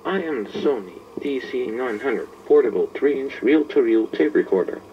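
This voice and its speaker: A man's voice: medium pitch, creaky. A man voicing a vintage tape recorder that introduces itself, measuredly reciting its specifications as a scripted presentation. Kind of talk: first-person scripted gadget presentation. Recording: dull, noisy amateur camera audio.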